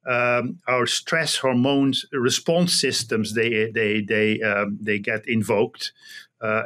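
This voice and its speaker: A middle-aged man with a Dutch accent talks as an expert guest in an interview. His pitch is medium, and he explains things haltingly, doubling back on words as he goes. It sounds like a video call from a small office. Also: a bit bright. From Netherlands. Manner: hesitant, halting, often repeating words. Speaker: guest, animal genetics researcher. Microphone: computer video call.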